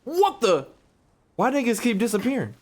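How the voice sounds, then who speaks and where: Animated, slangy male gaming streamer. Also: extremely high pitch, normal speed. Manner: slangy, blunt, joking, reactive. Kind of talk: casual profane gameplay commentary.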